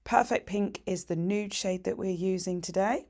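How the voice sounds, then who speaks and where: A middle-aged woman with a croaky voice, chatting her way through a nail tutorial voiceover with practical, cheerful asides. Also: medium pitch, moderate pace, darkish tone. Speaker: nail technician teaching a tutorial.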